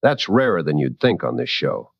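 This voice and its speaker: A man playing a composed late-night radio call-in host in an audio drama, measured, probing and courteous, in a slightly dull recording. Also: rumbling low, very animated, vocal fry, breathy edge, no echo, exaggerated voice. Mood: grave, courteous.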